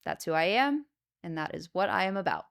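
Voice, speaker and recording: A woman with a fairly low, smooth, resonant voice hosts her own podcast as an insurance agent. She talks straight to the listener, earnest and emphatic, and checks in with a steady stream of "okay?" and "you know". Her voice is loud and carries. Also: brisk. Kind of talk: solo podcast talk to the viewer.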